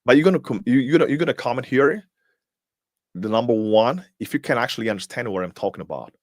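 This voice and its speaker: A man's voice: medium pitch, animated, quick pace, dry recording, studio quality. A man whose first language is Portuguese gives a live-streamed motivational talk as host. He is emphatic, fires off rhetorical questions, and repeats words as he searches for them.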